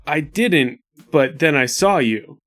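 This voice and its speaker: A man playing a concerned, caring young male companion in a tabletop game, speaking haltingly and repeating himself. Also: on the high side, expressive, a bit bright, voice pushed up. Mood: concerned, soothing.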